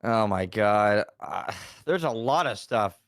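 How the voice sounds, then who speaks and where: A man's voice: fairly low pitch, lively intonation, slow pace, fairly bright tone, dry recording, clean studio sound. A man in his late twenties, a podcast guest, talking casually with slang and lots of fillers. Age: 20s.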